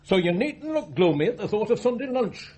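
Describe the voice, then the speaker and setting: Husky, bossy tycoon. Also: male voice, high-pitched, very animated, brisk, darkish tone, heavily acted. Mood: domineering. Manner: bossy, brusque, grumbling. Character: domineering, blunt self-made tycoon father. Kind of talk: radio drama acting.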